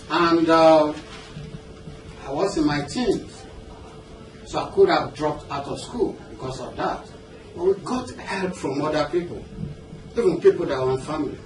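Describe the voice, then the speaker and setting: A man with a Nigerian accent giving a motivational seminar talk as a business coach, conversational and often checking in with questions. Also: a little high. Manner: conversational, engaging the audience with questions and check-ins. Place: indoor seminar room with an audience. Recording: roomy, noisy seminar hall, distant mic.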